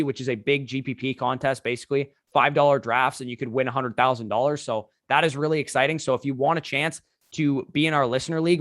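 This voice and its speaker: A man with a slightly hoarse voice, hosting a solo fantasy football recap with quick run-on analysis. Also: medium pitch, a bit bright, no room sound, studio quality. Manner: fast, run-on analysis with restarts. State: hoarse, lost voice. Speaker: fantasy football podcast host.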